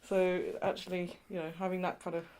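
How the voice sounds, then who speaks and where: A woman's voice: fairly low pitch, level tone, a little fast, darkish tone, smooth. A woman from England being interviewed, talking in a chatty way with lots of "you know" and light laughs. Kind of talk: oral history interview.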